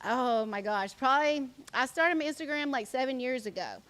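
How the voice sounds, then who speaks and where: A woman from South Carolina chats in an interview with a light Southern country twang. Her voice sits a little high. She rambles through her story and scatters "like" through nearly every sentence. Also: expressive, moderate pace, a bit bright. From South Carolina, USA. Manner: chatty storytelling, heavy use of "like". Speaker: guest, influencer. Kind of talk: casual interview chat.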